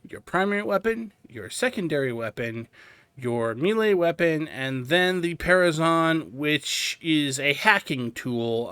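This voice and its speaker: A man's voice: mid-range voice, bright, forceful tone, no echo. A man hosting a video game tutorial, casually explaining menus and thinking aloud as he plays. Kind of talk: casual gameplay walkthrough commentary.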